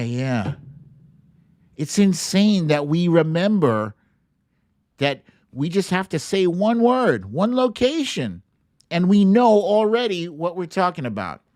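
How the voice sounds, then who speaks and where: A man's voice: fairly high pitch, darkish tone, dry recording, studio quality. An American man hosting an online political commentary show, talking casually, bluntly and emphatically, with some swearing.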